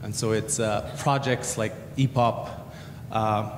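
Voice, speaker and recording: Male panelist, halting delivery, roomy echo, long reverb. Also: on the low side, fairly even intonation. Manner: hesitant, many uhs and repeated words. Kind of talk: conference panel answer. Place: large conference hall. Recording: echoey hall reverb, faint noise.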